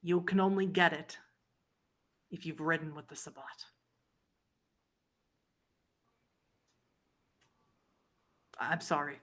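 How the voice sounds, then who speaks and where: A woman's voice: low-pitched, steady tone, moderate pace, fairly bright tone. A woman voicing a desperate, unkempt vampire runaway who speaks warily and insistently in a tabletop roleplay game. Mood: guarded.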